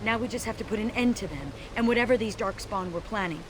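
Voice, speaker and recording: A woman's voice: mid-range voice, fast talker, heavy background noise, lightly acted. A woman playing a stern, fatalistic dwarf warrior in a video game, speaking in an earnest, wry way. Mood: grave, thoughtful.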